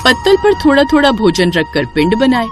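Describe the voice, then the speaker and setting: Expressive woman narrator with music behind. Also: fairly high pitch, moderate pace. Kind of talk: scripted devotional story narration.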